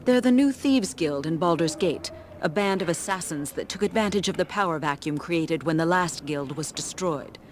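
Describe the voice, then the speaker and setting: An actress playing an earnest, righteous townswoman who gives quests in a fantasy video game, speaking formally and gravely. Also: low voice, expressive, moderate pace, hardly any pauses, slight background noise. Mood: disdainful, informative. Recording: loud music under game dialogue.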